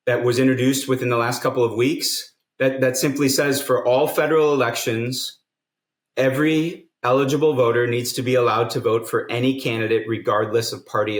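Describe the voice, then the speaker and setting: A man's voice: medium pitch, fairly even intonation, normal speed. A man, a guest on a podcast interview, explaining things earnestly and step by step. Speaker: guest, nonprofit co-founder. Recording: roomy echo.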